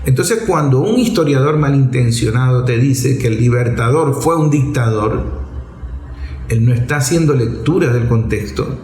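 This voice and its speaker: A man's voice: medium pitch, normal speed, a little mellow. A Venezuelan man hosting a political programme, giving off-the-cuff commentary in a didactic, emphatic way. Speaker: host of a political opinion programme. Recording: roomy echo on the voice.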